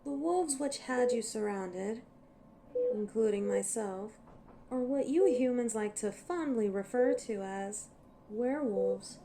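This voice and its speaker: Actress as ancient she-wolf for audio roleplay: dark mellow tone, taunting, cocky, menacing; faint noise behind. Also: fairly high pitch, takes it slowly. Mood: wry, explaining. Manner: sardonic, teasing, taunting. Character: cocky ancient female werewolf, teasing, menacing and impatient. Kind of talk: scripted audio roleplay monologue to a listener.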